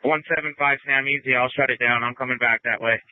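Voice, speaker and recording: A man's voice: mid-range voice, fairly even intonation, fast talker, dry recording. A man speaking as a police officer in urgent traffic over a police radio. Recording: tinny radio with faint noise.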